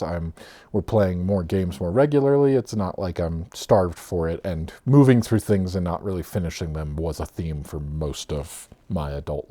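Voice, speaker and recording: A man in his thirties with a deep, low voice, talking casually to camera about his own past. He rambles, laughs easily and lets sentences trail off quietly. At times he drifts slightly away from his own mic. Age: 30s. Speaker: vlogger. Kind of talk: personal storytelling vlog. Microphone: own mic, off-axis at times.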